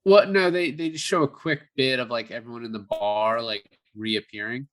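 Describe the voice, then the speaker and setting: A man's voice: mid-range voice, moderate pace. A man co-hosting a movie review podcast, chatting casually about films. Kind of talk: casual film discussion.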